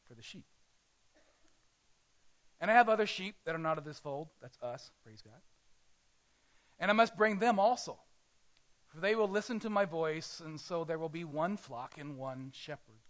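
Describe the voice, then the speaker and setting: A male pastor preaching a sermon in a conversational way, often pausing and correcting himself. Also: on the high side, fairly bright tone, highs cut off.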